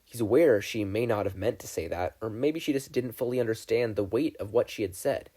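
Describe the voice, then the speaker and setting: A man reading a story aloud as a narrator, in an expressive scripted style. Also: on the low side, a little fast, breathy edge. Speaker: fan fiction podfic reader.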